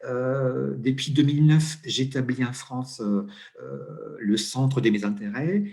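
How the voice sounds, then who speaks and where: Italian guy in his middle years, lots of euh and restarts, mid pitch, relaxed interview talk. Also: slow, creaky. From Italy. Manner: hesitant, many fillers and restarts. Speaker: interview guest, coach and HR consultant. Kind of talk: conversational interview.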